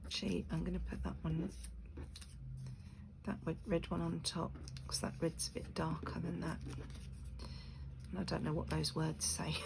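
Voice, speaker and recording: A woman with a croaky voice chatting casually to her channel while making craft pieces, rambling with lots of fillers. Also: sits low, level tone, moderate pace, long pauses. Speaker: crafting video maker. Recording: noisy room with clicks.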